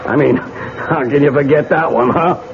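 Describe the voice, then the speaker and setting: A man's voice: a little high, normal speed, lightly acted. Chatty mechanic played by a man, tinny sound. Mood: friendly, eager. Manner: folksy, colloquial. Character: chatty, eager small-town gas station mechanic. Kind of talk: 1970s radio drama acting. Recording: noisy, phone-like old radio recording.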